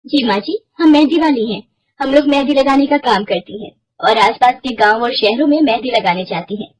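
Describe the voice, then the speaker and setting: A woman acts out a kind young henna artist from a cartoon village. The voice is high and a little husky, earnest and full of reassurance. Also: fairly quick, rough recording. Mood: cheerful, welcoming.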